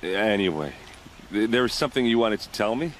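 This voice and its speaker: Man acting deep-voiced, blunt warrior captain. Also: expressive, fairly quick, a little hiss or hum, natural voice. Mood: bitter, grieving. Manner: blunt, sardonic, blustering. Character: gruff, grieving, blustering male warrior captain. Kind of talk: video game cutscene acting.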